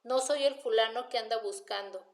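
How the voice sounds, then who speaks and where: A woman's voice: on the high side, roomy sound, close to an everyday voice. A woman voicing a defiant, wry male drifter who answers police questioning with sardonic retorts in an audiobook. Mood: sardonic, calm. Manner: sardonic, argumentative, unhurried retorts. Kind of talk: audiobook character dialogue.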